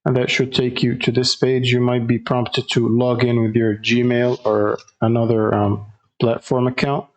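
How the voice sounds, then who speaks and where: A man walks through a software tutorial in a casual, instructional style. His medium-pitched voice stays quite monotone. He speaks haltingly, pausing often as he goes. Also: lo-fi sound. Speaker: tutorial host.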